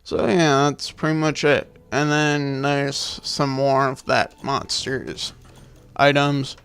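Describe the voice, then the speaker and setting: A man's voice: mid-range voice, fairly even intonation, slow, a bit bright, clean tone. A man giving casual, rambling game commentary as a video maker, dismissive and freely swearing.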